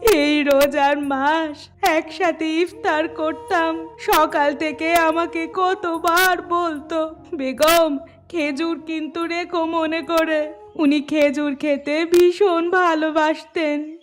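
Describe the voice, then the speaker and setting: A woman voicing a grieving, pious village widow in a cartoon, crying and lamenting in a tearful, drawn-out way. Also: extremely high pitch, fairly even intonation. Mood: tearful remembering. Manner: slow, tearful, wailing lament. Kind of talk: cartoon voice acting. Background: music.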